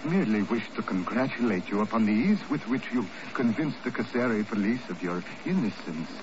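An actor playing a menacing, falsely polite villain with formal, insinuating speech in 1950s radio drama. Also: male voice, fairly low pitch, animated, close to an everyday voice. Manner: over-formal, insinuating, deliberate. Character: smooth, menacing, mock-courteous foreign tobacco magnate villain. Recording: noisy, muffled old radio recording.

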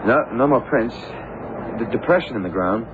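An actor playing an observant, deferential detective's assistant in a 1970s radio drama, reporting details matter-of-factly. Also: male voice, medium pitch, expressive, normal speed, lightly acted. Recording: noisy, tinny old radio broadcast.

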